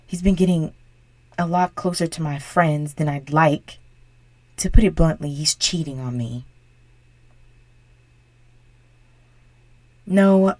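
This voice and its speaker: A bossy, exasperated popular high school girl played by a young woman in an audio roleplay. Her voice sits low, and the sound is close and dry. She pushes and whines with sighs, a brat who is secretly desperate. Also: darkish tone, no echo. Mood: pleading, embarrassed. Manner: whiny, sighing, pushy. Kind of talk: intimate audio roleplay addressed to the listener.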